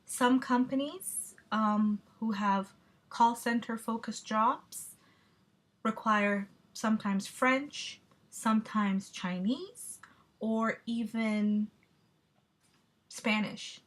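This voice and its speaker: A woman giving a measured, explanatory class presentation, with the odd filler. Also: fairly high pitch. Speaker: student presenter.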